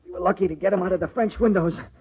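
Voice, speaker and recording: A husky, desperate radio-thriller schemer. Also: male voice, high voice, very fast, dark tone. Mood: urgent, commanding. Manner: urgent, bossy, clipped orders. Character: desperate, commanding male lover covering up a killing. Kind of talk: old-time radio thriller acting. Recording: dull, lo-fi old radio recording.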